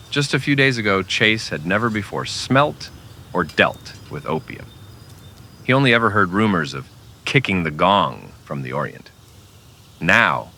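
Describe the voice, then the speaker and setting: A male storyteller with a low, forceful voice and lively swings in pitch. He delivers scripted western narration in a dramatic, old-time radio manner.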